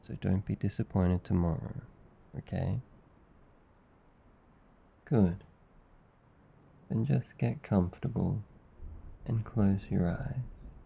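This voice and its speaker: A man with an Australian accent playing a gentle, affectionate, protective demon in an intimate, soothing ASMR roleplay. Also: low voice, slow pace, creaky, long pauses, lo-fi sound, a little hiss or hum, exaggerated voice. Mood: soothing, sleepy. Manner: soft, intimate, soothing, teasing. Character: gentle, affectionate male sleep paralysis demon, protective comforter.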